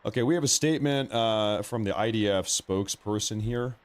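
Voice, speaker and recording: A man with a slightly low-pitched voice hosts a live news stream. His delivery is informal and reactive, like someone chatting casually as he follows events. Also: fairly quick, clean tone.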